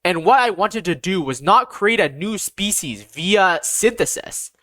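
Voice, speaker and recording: Audiobook narrator, androgynous mid-range voice, animated intonation, deliberate scripted fantasy storytelling, very dry close sound. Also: mid-range voice, dry recording.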